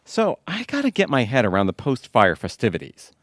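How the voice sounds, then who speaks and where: A man's voice: mid-range voice, wildly swooping pitch, fairly quick, no echo. A man playing an easygoing suburban husband in an audio comedy, breezy and pleased with himself.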